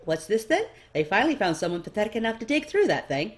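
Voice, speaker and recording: A woman's voice: medium pitch, big pitch swings, fast, voice pushed up, lightly acted. A woman voicing a teasing, flamboyant nobleman in a story, with a theatrical, prying manner. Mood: smug. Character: smirking, flamboyant, teasing nobleman with a dramatic mustache.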